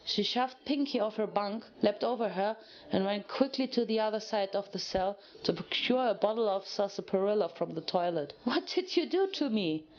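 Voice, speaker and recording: A woman's voice: medium pitch, moderate pace, lo-fi sound. A woman reading a story aloud as narrator, in a dramatic scripted style.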